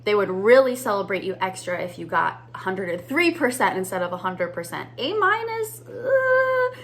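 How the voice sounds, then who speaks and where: A woman's voice: mid-range voice, sing-song, normal speed, a bit bright. A woman coaching business owners on mindset, talking to camera in an upbeat, conversational way. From United States. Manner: upbeat, conversational, addresses viewers as "you guys". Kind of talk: teaching to camera.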